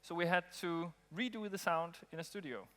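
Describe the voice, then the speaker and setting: A man from Denmark, giving a relaxed conference talk with frequent ums and pauses. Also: on the high side. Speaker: conference speaker. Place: conference hall. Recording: long hall reverb.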